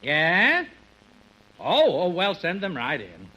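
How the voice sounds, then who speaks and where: An actor playing a busy, absent-minded lawyer in an old radio comedy, brisk, distracted and self-important, on a noisy vintage recording. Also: male voice, high voice, huge pitch swings, dull audio, exaggerated voice. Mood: flustered, distracted.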